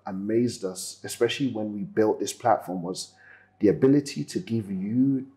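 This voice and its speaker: A man hosting a casual celebrity interview in an animated, slangy way, often repeating phrases for emphasis. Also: lowish voice, normal speed. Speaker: interviewer and company founder.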